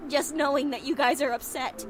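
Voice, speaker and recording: A woman playing a distressed, guilt-ridden teenage girl in a visual novel, speaking haltingly and apologetically. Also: high voice, steady tone, lightly acted. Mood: remorseful, upset. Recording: music behind the voice.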